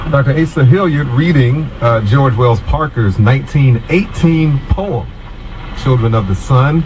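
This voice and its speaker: A man giving casual, off-the-cuff commentary as a host, halting as he searches for words. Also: medium pitch, expressive, a little mellow, poor audio. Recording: clear background vehicle noise.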